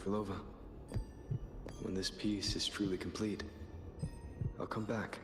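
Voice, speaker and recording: An actor playing an earnest, devoted man in a video game, speaking gravely and sincerely. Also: low voice, flat delivery, a little slow. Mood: solemn, tender. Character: earnest, devoted man making solemn vows. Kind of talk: dramatic video game voice acting. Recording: echoey hall reverb with music.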